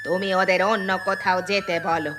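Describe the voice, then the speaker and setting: A woman's voice: mid-range voice, fairly even intonation, a little fast, close to an everyday voice. A woman playing a harsh, scolding stepmother wife in a cartoon folk tale. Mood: angry, scornful. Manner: sharp, scolding, bossy. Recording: music behind the voice.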